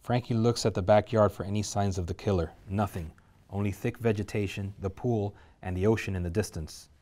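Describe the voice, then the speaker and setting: Low guy reading the action lines, steady and even. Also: brisk. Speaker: narrator reading stage directions. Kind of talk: script table reading.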